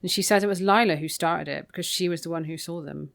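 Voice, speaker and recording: A woman's voice: fairly low pitch, fast talker, vocal fry. A woman co-hosting a podcast, casually recapping a book in an informal, matter-of-fact way. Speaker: podcast co-host.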